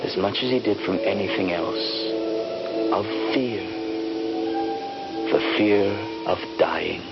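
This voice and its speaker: An actor playing the bitter ghost of a dead soldier in a radio drama, deliberate, ironic and dramatic. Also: male voice, low voice, gravelly, exaggerated voice. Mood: bitter, haunted. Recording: dull 1970s radio recording under music. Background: loud music.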